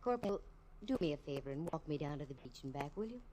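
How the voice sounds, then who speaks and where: An actress playing a wisecracking female private detective who narrates her own case in a 1950s radio drama, in a brisk, breezy, wry manner. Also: sits low, expressive, warm tone. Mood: cool.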